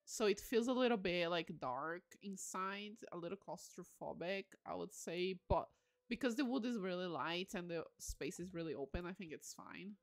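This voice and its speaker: A woman's voice: on the low side, animated, moderate pace. A woman livestream host giving casual game commentary, musing aloud with enthusiastic exclamations.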